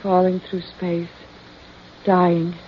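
An actress playing an entranced woman in a vintage crime radio drama, speaking in halting, trancelike short phrases. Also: fairly low pitch, monotone, a little hiss or hum. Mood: dazed, trancelike. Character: entranced woman reliving deaths, dazed then frantic. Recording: dull lo-fi old radio recording.

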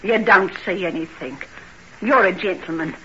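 An actress playing a guarded, bitter young working-class housekeeper with a brusque, slangy in old radio drama style. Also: female voice, lowish voice, moderate pace, bright, forceful tone. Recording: muffled noisy old radio recording.

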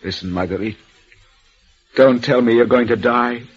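A man playing an anxious, devoted young lover, breathy. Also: medium pitch, normal speed, faint music behind, close to an everyday voice. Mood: earnest, pleading. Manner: soft and earnest. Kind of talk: 1930s radio drama acting. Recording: muffled old radio broadcast.

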